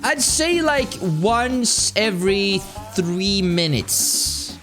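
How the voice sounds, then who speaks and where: A man streaming a game, with a light, high-pitched voice, chatting casually and rambling with lots of laughs. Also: extremely slow. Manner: animated, rambling, laughs often. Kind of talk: casual live-stream chat while playing. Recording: music playing underneath. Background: game music.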